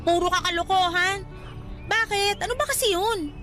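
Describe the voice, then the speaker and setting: A Filipino actress playing a nagging but sensible young cousin and co-worker in a radio drama, scolding and exasperated. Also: female voice, very high voice, moderate pace. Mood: exasperated, suspicious. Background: music bed.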